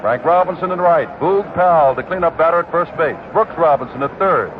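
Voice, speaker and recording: A man calling a baseball game on the radio, briskly reading out the lineups. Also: on the high side, a little hiss or hum. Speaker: radio play-by-play announcer. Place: stadium broadcast booth. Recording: tinny 1969 AM radio broadcast.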